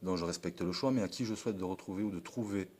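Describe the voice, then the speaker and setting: A man's voice: deep voice, fast, a little mellow, breathy edge. A man from France reading a formal, earnest political message of support, with a declamatory tone and the odd slip of the tongue. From France (Perpignan). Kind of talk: read political address.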